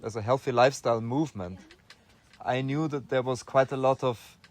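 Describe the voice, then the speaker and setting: A young man from Austria talks through his answers in a relaxed interview, his voice sitting at a middle pitch. He thinks out loud, restarting phrases and pausing on fillers as he goes. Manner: thoughtful, many restarts and fillers. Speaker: interview guest.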